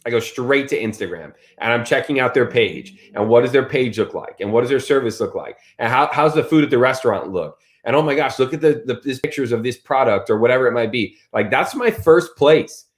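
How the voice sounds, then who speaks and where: A man in his thirties in casual chat, telling stories with lots of fillers and lively swings in his voice. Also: mid-range voice, a little fast, few pauses. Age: 30s. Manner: animated storyteller, many fillers.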